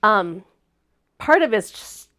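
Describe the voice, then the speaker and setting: A woman's voice: mid-range voice, big pitch swings, slow, pushed and bright. A woman hosting a casual livestream chat, sounding a bit sniffly and congested, talking in a chatty, upbeat way. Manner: chatty and upbeat, laughs easily. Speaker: host.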